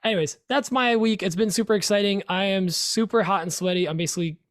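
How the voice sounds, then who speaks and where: A man's voice: high voice, quick pace, dry recording, clean studio sound. A man co-hosting a podcast in casual chat, upbeat and talkative, often trailing off and restarting. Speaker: podcast co-host.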